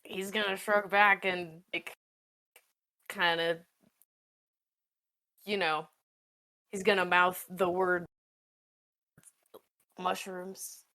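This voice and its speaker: A woman's voice: lowish voice, flat delivery, very slow, long pauses, bright, forceful tone. A woman improvising in a casual tabletop role-playing game with a laconic, dryly understated manner.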